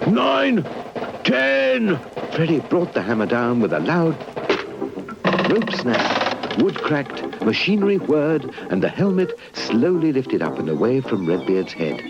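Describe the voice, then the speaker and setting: A British man narrating a children's story in an animated, expressive storybook style. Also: mid-range voice, a little slow, very noisy. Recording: dull lo-fi VHS tape transfer. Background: music.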